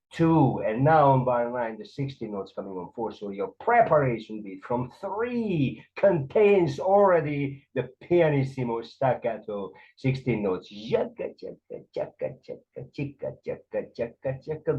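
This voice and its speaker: A man with a Hungarian accent teaching conducting, explaining the beats and sometimes counting or singing the rhythms aloud. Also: mid-range voice. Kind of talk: explanatory music lesson. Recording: dull lo-fi sound.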